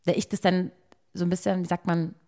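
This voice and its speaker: A woman in her thirties co-hosting a podcast who talks openly about her own mistakes and often pauses and restarts while she searches for words. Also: lowish voice, level tone, mellow. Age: 30s. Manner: searching, hesitant, confessional. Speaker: podcast co-host. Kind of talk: candid casual conversation.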